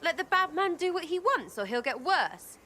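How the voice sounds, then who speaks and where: A brash, animated young English rogue. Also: female voice, very high voice, normal speed, heavily acted. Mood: indignant. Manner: cheeky, blunt, slangy. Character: brash scrappy young female rogue, irreverent and hot-tempered. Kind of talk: video game cutscene acting.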